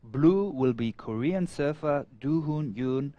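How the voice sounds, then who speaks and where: A man's voice: on the high side, lively intonation, slow, mellow, no room sound, muffled recording. A man from Afghanistan co-commentates a surf event. Persian is his first language, and his English is friendly and casual, with frequent halts and restarts. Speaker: guest co-commentator and event organizer.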